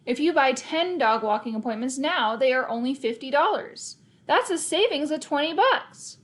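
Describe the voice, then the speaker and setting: A woman's voice: high-pitched, lively intonation, normal speed, voice pushed up. A woman voicing a cocky, hot-headed school-age kid in a children's storybook, emphatic and pushy. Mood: salesy, flustered.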